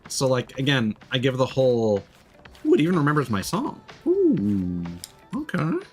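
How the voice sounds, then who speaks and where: A man's voice: medium pitch, wildly swooping pitch, normal speed, darkish tone. A man giving casual, rambling, enthusiastic video game commentary as a livestreamer, swearing freely. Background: game music.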